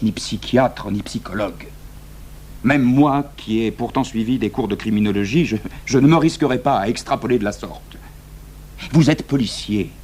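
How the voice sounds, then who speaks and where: An actor playing an imperious, impatient examining magistrate in a radio drama, speaking in a curt, pompous, insistent way. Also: male voice, fairly low pitch, a little mellow, slightly rough. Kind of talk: French radio drama acting. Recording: hummy, noisy old radio broadcast.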